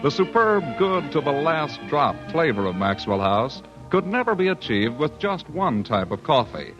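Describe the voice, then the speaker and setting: A man working as a radio announcer, reading a 1940s advertisement as a smooth sales pitch. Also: very animated, normal speed, fairly bright tone. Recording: muffled old radio recording. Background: music under the voice.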